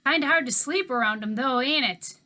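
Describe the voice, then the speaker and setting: A good-natured old homeless man who drawls and chuckles his way through every line, put on by a woman reading aloud. He sounds loud and cheerful, pitched well above her usual storybook narration. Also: moderate pace. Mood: folksy.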